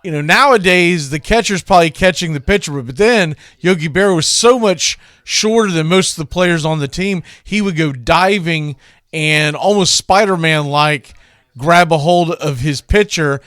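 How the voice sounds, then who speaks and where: A man's voice: a little high, normal speed, fairly bright tone, no echo, clean studio sound. A man hosting a baseball radio show, introducing classic game calls in a conversational, opinionated way.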